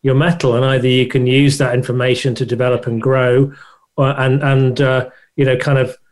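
A man's voice: mid-range voice, little pitch movement, moderate pace, a little mellow. A man hosting an interview, asking long questions with many restarts. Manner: rambling, hesitant questions with restarts. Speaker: podcast host.

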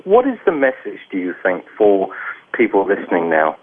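A man hosts a radio discussion in a fairly low voice. He asks long, winding questions and stumbles now and then. The sound is thin and narrow, like a phone line. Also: huge pitch swings, moderate pace, slightly rough. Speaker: radio host.

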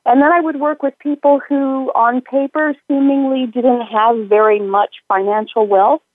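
A woman minister telling her life story as an interview guest, measured and pausing with fillers. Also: high voice, fairly even intonation, dry recording. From United States and northern Italy. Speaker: minister and money coach guest. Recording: dry tinny phone line.

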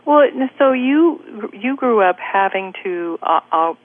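A woman's voice: medium pitch, lively intonation, measured pace. A woman hosting a radio interview show, chatty and effusive in her questions. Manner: effusive, chatty, many "you know"s. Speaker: host. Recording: tinny phone-quality broadcast.

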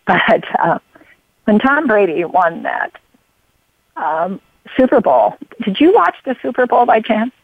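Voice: medium pitch, sing-song, takes it slowly, long pauses, slightly rough, no echo. A radio show host interviewing a guest, talking in long rambling runs full of fillers and restarts and drifting into personal stories. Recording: phone-like narrow sound.

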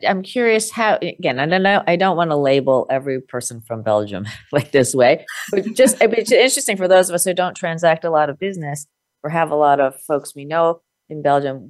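Host: woman with a deep voice, dry close-miked sound, reflective coaching tone. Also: lively intonation, moderate pace, no echo. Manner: reflective, uses filler "like" and asks check-in questions. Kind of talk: conversational interview, coaching advice.

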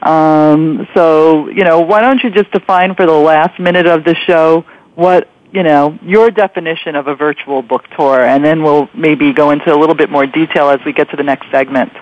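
Higher-voiced male host, dull radio sound. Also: steady tone, normal speed. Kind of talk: conversational interview.

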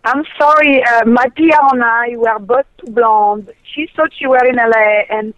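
A woman's voice: a little high, moderate pace, a bit bright, dry recording. Woman, French accent, phone guest, muffled line, dry sound, chatty. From France. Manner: chatty, acts out her own quoted talk. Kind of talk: casual phone interview.

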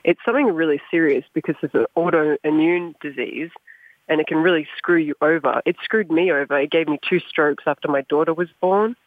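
A woman's voice: sits low, a little fast, dry recording. A woman with an Australian accent, a talk show guest who chats in a bubbly way and laughs often. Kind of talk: casual phone-in interview. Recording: dry tinny phone line.

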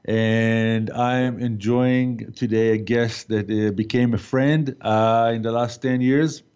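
Hesitant host with a muffled sound. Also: male voice, mid-range voice, steady tone, slow pace, darkish tone, clear, resonant tone. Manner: hesitant, many fillers. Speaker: talk show host. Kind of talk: casual interview. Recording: dull lo-fi sound.

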